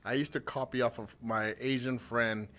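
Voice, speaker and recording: A man's voice: medium pitch, steady tone, normal speed, a bit bright. A man hosting a podcast in casual chat, telling stories about himself with frequent laughter. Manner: laughing, self-mocking storyteller. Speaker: podcast host.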